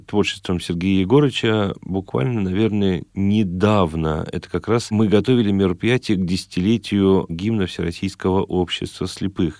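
A man's voice: low voice, a little mellow, no echo, clean studio sound. A man speaking as an interview guest in a reflective, thoughtful and somewhat formal way. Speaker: interview guest, chamber singer.

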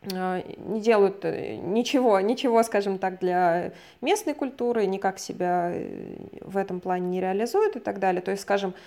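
A woman from Russia explains a concept in conversation, steady and patient in the way a lecturer would be. She hedges often as she goes, picking her words carefully rather than rushing. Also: mid-range voice. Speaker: guest expert on migration.

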